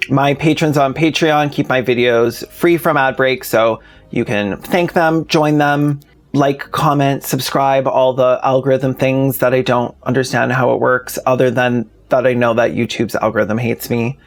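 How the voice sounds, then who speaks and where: A man's voice: mid-range voice, fairly even intonation, a little slow. A man narrating a video essay as the channel's host, speaking directly to the audience.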